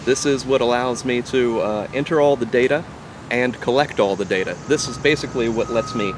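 A man's voice: mid-range voice, a little fast, background noise. A man in his thirties, an archaeologist, explaining his work in an outdoor interview. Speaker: archaeologist, surveyor. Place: outdoors by a wooden fence and trees.

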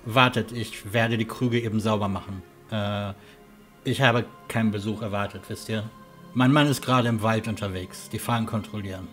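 A man acts out a slightly flustered, hospitable villager in a fantasy audio drama. The voice is fairly low, hesitant and apologetic, with music softly underneath. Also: fairly even intonation, moderate pace, close to an everyday voice. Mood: welcoming.

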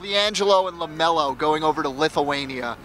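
A young man works the street as a comedic interviewer. His voice sits high and has a bright edge, and he banters casually into a handheld mic. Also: fairly quick, slight background noise. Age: young adult. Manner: joking, banter-heavy. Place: busy city street at night. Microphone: handheld reporter microphone.

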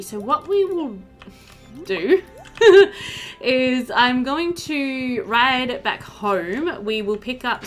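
A woman's voice: medium pitch, fairly bright tone. A woman in her thirties giving casual, chatty let's play commentary, thinking aloud and talking to the game characters. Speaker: gaming video host. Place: indoor room at home. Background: game music.

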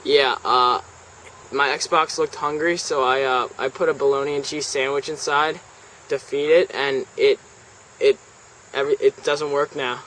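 A teenage male making a deadpan prank phone call in a halting, straight-faced way, recorded outdoors at a table. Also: mid-range voice. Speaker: prank caller. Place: outdoors on a house's back deck. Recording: noisy outdoor camera audio, insects, traffic.

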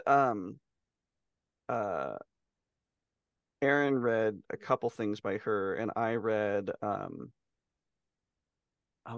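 A man's voice: fairly low pitch, vocal fry, fairly bright tone, dry recording, clean studio sound. A middle-aged man co-hosting a podcast, chatting casually about books and swearing freely. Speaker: podcast co-host. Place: small room on a video call.

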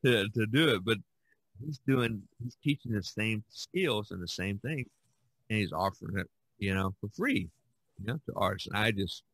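A man with a fairly low voice hosts a casual conversation. He rambles from one thought to the next, and the sound is dry and close, with little room in it. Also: expressive, moderate pace, a bit bright, husky, dry recording, low-quality recording. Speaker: podcast host. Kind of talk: casual chat.

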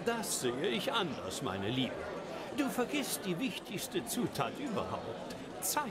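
An actor playing a sly, smug schemer in a game, taunting and silky in a theatrical way. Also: male voice, sits high, huge pitch swings, takes it slowly, full character voice. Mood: smug, philosophising. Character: sly, smug stranger, a tempting male schemer. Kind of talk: dramatic game voice acting. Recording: loud crowd chatter behind.

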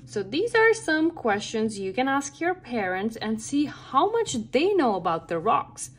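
A woman reading a nonfiction picture book aloud for children, with careful, deliberate and expressive phrasing. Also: fairly high pitch, husky. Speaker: read-aloud narrator for children. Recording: background music under the voice. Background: music.

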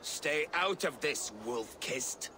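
A man voicing a gruff, stubborn, scornful Norse warrior in a video game. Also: fairly high pitch, lively intonation, slow, pushed and bright, a little hiss or hum, full character voice. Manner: blunt, scornful. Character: gruff, hot-headed, stubborn adult male Norse warrior. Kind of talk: dramatic game dialogue.

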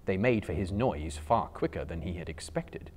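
A man's voice: deep voice, lively intonation, moderate pace, darkish tone. A man in his thirties reads a story aloud with theatrical flair. His intonation swings widely, and his phrasing is careful and emphatic. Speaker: storyteller performing a dramatic reading. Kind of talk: dramatic storybook narration. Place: indoor bookstore room lined with shelves.

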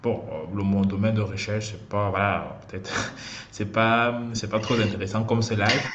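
A young man from Cameroon, hosting a casual livestream and talking in a halting, chatty way as he calls out to viewers over a headset. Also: lowish voice, steady tone. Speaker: host of a student livestream. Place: small indoor room. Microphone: headset on a video call. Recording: muffled video-call audio, faint hiss.

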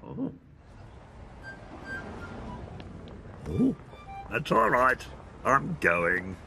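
A childish, bumbling cartoon fellow of middle age. He mutters and dithers in a throaty mumble, dragging words out very slowly and swinging wildly in pitch. Music plays clearly behind him. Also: huge pitch swings, heavily acted. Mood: dejected. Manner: halting, muttering, childishly fussy. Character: bumbling, childish, self-satisfied middle-aged man. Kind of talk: British animated comedy acting. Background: cartoon background music.

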